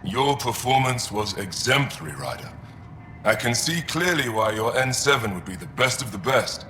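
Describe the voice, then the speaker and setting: A man playing a proud, honorable alien warrior in video game dialogue, formal and measured. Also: mid-range voice, animated, bright, forceful tone, a little hiss or hum. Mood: proud, admiring.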